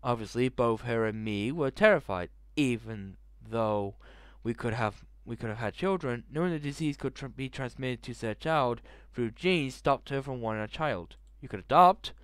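A man's voice: mid-range voice, lively intonation, moderate pace, few pauses, smooth, dry recording. Joking young man reading a game aloud. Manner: jokey, swearing, breaks into laughter and asides. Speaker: gaming video host. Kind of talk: reading a visual novel aloud with jokes. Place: bedroom, indoors. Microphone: gaming headset.

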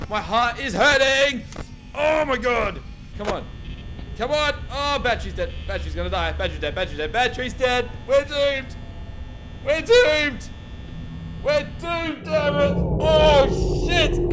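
A young man playing a horror game and recording through a headset at home, muttering to himself and breaking into panicked shouts. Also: extremely high pitch. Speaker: gaming YouTuber. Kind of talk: horror game let's play. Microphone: over-ear headset.

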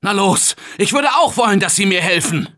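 A man's voice: sits high, lively intonation, moderate pace, bright, forceful tone. A man voicing a tense male survivor in a German game dub, barking urgent warnings and calls for help. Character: male game survivor, tense office worker fighting zombies. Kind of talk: game voice clips.

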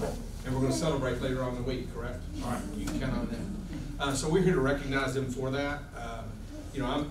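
A man who presents students at a board meeting in an informal way, checking with the audience as he goes. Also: mid-range voice. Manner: informal, asks the audience for confirmation. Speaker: school administrator presenting students. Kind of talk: public speech at a board meeting. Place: large meeting room. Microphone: far camera. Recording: echoey room, distant camera, background noise.

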